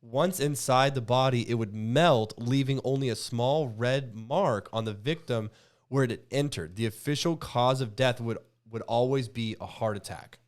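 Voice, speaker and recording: A man's voice: medium pitch, moderate pace. A man co-hosting a podcast, chatting casually with joking, sarcastic swearing and reading posts aloud. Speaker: podcast co-host.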